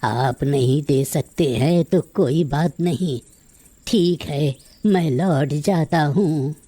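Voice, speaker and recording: A man voicing a humble but insistent old beggar, pleading slowly in a fairy tale. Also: on the high side, mellow, no echo.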